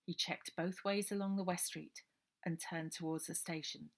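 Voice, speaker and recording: Female storyteller for middle-grade fiction, lowish pitch with a creaky edge. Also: fairly even intonation, normal speed. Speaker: author reading own novel aloud. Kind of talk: children's story narration, unedited.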